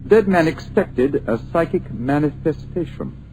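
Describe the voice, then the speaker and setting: Detective sereno y preciso. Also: male voice, on the high side, animated, darkish tone, a little hiss or hum, poor audio. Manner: measured, precise and authoritative. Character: calm, clever male detective.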